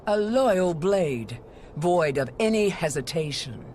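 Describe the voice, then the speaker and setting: A woman playing a proud, sardonic swordswoman in a video game, with a cool, mocking and deliberate delivery. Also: fairly low pitch, lively intonation, darkish tone, slight background noise. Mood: wry, challenging. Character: haughty, sardonic female swordswoman, proud and wary. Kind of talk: dramatic video game character acting.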